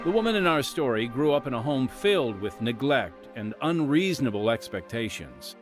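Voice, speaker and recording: A man's voice: medium pitch, big pitch swings, normal speed. A man hosting a drama podcast, giving an upbeat, scripted introduction. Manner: upbeat, emphatic presenting. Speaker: podcast host. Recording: music playing under the voice.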